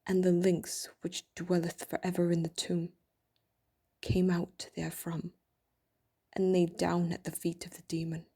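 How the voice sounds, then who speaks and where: A woman narrating an audio drama in a hushed, breathy, near-whispered way. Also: lowish voice, monotone, measured pace.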